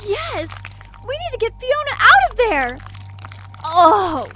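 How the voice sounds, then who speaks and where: An excitable, scared nun in an audio drama. Her very high voice leaps about, halting and breathless with alarm. Faint noise sits behind her. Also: full character voice. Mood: alarmed, appalled. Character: frightened, excitable nun witnessing horror.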